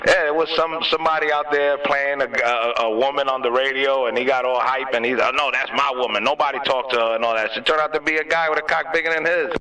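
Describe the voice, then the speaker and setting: A man's voice: medium pitch, fairly even intonation, fast, hardly any pauses, sharp tone. A man chatting casually on a radio, using slangy, teasing talk. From New York. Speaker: CB radio operator. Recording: noisy, distant-sounding two-way radio transmission.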